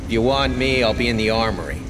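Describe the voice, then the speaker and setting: An actor playing a wry, laconic, weary marine sergeant in a video game cutscene. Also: male voice, fairly low pitch, quick pace, lightly acted. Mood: grim, resigned. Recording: loud music under dialogue.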